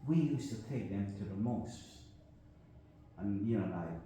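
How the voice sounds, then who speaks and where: A man's voice: fairly low pitch, lively intonation, a little slow, mellow. An older man from Guyana with a Guyanese accent, reflecting in a rambling casual discussion and often asking "you know what I mean?". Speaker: discussion guest. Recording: echoey room, faint noise.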